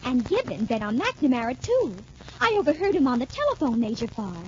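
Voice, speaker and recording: Eager high-voiced girl, very animated. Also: a little fast. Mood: eager, earnest. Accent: American. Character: observant, forgiving young woman at a military academy. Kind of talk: 1940s radio drama acting. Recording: muffled 1940s radio recording.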